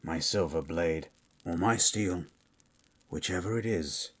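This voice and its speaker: A man playing a grim, menacing monster hunter for hire in a fantasy ASMR roleplay, speaking in a terse, deliberate, coldly questioning way. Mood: taunting, menacing. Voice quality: husky, very low.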